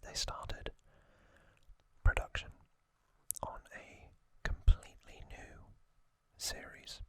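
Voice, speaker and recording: An ASMR host narrating in a breathy, unvoiced whisper, hushed and deliberate, with frequent pauses. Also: no room sound. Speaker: host of an ASMR trivia channel.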